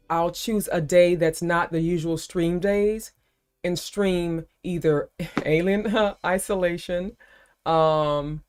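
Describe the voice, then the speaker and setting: A woman's voice: deep voice, darkish tone, clear, resonant tone. A woman hosting a livestream, chatting casually and playfully and calling the other person baby. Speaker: livestream host.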